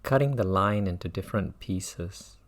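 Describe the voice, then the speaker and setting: A man guiding a mindfulness meditation as a teacher, speaking in measured phrases with long pauses and repetition. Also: low voice.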